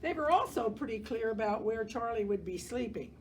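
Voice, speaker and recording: A woman reading a children's picture book aloud as a library storyteller, gentle and expressive, pausing to comment on the pictures. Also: on the high side, a little hiss or hum.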